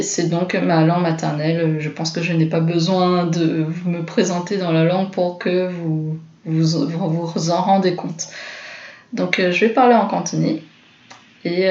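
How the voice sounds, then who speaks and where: A French woman in her thirties talks slowly and casually, pausing often. Her voice sits a little low, and the recording sounds roomy and slightly dull. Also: a little mellow. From France. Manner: unhurried, with frequent hesitations. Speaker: SEO consultant and vlogger. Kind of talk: casual self-introduction to camera.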